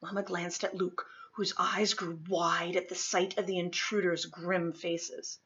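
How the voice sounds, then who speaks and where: A woman reading a children's historical story aloud, expressively and dramatically, with emphatic swells and hushed drops. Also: on the low side, level tone, a little slow, breathy edge, dull audio. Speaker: storybook reader.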